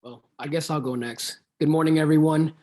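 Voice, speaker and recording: A man's voice: on the high side, steady tone, a little fast, mellow. A man in his thirties from Cuba, a dentist introducing himself earnestly on a video call. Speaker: dentist, alumnus speaker. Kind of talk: virtual panel introduction.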